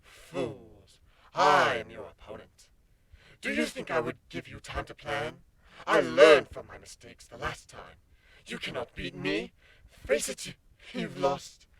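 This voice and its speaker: A man voicing an arrogant possessed pony villainess with a breathy, menacing way of proclaiming in a dramatic story reading. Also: mid-range voice, huge pitch swings, slow pace, a bit bright, heavily acted, rough recording. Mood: gloating, menacing.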